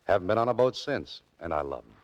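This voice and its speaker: A man's voice: deep voice, expressive, fast talker. A man playing a wry, adventurous writer-sleuth with understated delivery in 1940s radio acting style. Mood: wry, musing. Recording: muffled lo-fi 1940s radio recording.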